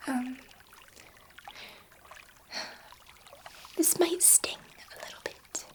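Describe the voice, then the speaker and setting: A woman playing a gentle, awed alien scientist in a whispered ASMR sci-fi roleplay, speaking tenderly and reassuringly with halting pauses in a soft, breathy voice. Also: sits high. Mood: dreamy, soothing.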